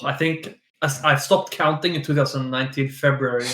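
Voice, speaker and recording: A man's voice: mid-range voice, fairly even intonation, brisk, bright, forceful tone, echoey room. A man, a guest on a stream, chatting playfully with giggles and lots of restarts. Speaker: guest, esports player. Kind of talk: casual chat on a stream.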